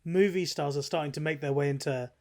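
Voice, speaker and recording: A man with a fairly high voice for a male speaker co-hosts a podcast. His delivery is loose, informal chat with some swearing. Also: fast, a little mellow. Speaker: podcast co-host.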